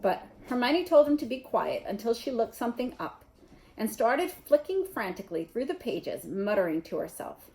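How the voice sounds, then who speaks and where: A woman reading a children's novel aloud in an expressive narrating style. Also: fairly high pitch, moderate pace, low-quality recording. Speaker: storybook reader.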